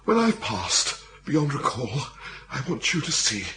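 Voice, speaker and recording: A man's voice: medium pitch, wildly swooping pitch, measured pace, bright, forceful tone, slight background noise, heavily acted. An actor playing a small, lovesick young Englishman in a radio comedy, hungover, stammering, melodramatic and full of self-pity. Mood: lovesick, despairing. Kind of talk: radio comedy drama acting.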